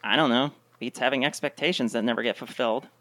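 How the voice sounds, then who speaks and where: A woman playing a jaded, cutting girlfriend with deadpan sarcasm in a comedic radio play. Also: a bass voice, quick pace, no room sound. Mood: sarcastic.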